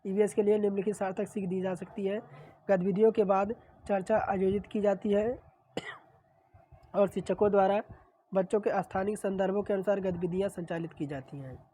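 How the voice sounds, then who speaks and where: A woman's voice: medium pitch, fairly quick, slight background noise, low-quality recording. Woman teacher with a sore throat. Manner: steady explanatory reading, repeats answers. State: sore throat, clearing throat often. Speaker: exam-prep teacher. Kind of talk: reading and solving practice questions.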